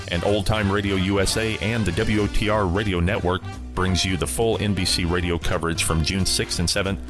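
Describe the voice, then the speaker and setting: Podcast host, male, very low voice, scripted promo read with clear music underneath.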